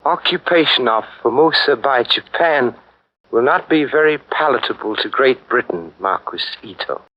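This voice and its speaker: A man's voice: medium pitch, expressive, normal speed, muffled recording, lightly acted. An actor playing a weary, stubborn male envoy in a 1940s radio drama, speaking in a dignified, resistant way over an old broadcast recording. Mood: dignified, resisting.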